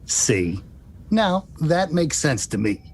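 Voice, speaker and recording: Creaky, cranky old man, acted by a man. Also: sing-song, takes it slowly, full character voice. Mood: curious, blunt. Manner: grumbling, wisecracking. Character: cranky, stubborn, foul-mouthed eighty-two-year-old male patient. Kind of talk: screenplay table read. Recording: audible low rumbling noise.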